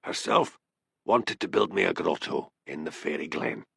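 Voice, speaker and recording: A man voicing a sly, cunning old Highland seer, needling and teasing in an audiobook story. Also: expressive, normal speed, gravelly, sharp tone, breathy edge, no echo, clean studio sound, exaggerated voice. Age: older.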